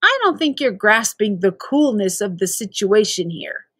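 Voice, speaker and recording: A woman voicing an excited, cocky young boy gamer in a storybook, enthusiastic, breezy and persuading. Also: medium pitch, a little slow, dry recording, clean studio sound. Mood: eager, boastful.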